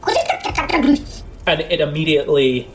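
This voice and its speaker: A man's voice: fairly high pitch, huge pitch swings, slow. A man improvising the narration of a tabletop role-playing game as game master. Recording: clear background noise.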